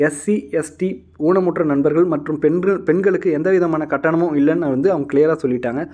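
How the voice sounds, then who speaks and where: A man's voice: fairly high pitch, fairly even intonation, fast talker, mellow. A man presenting an informal explainer to viewers in a polite, instructive and repetitive way. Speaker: host of a job news channel.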